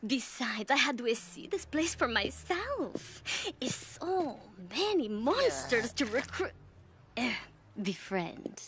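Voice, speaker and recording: Scornful teen zombie girl, cartoon villain, high voice, theatrical swoops, music underneath. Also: sing-song, a bit bright, slight background noise, full character voice. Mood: feigned hurt, sly. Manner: mocking, theatrical, drawn-out delivery. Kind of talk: animated cartoon acting.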